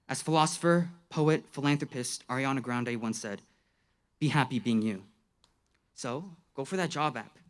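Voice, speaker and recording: A young man in his late teens giving a student graduation speech, playful and tongue-in-cheek, with slang and dramatic emphasis. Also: a little high.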